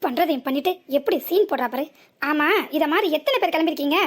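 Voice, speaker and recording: A woman voicing a suspicious male car driver who scolds and accuses loudly in an animated story. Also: sits high, quick pace, close to an everyday voice. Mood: accusing. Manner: loud, scornful and accusing.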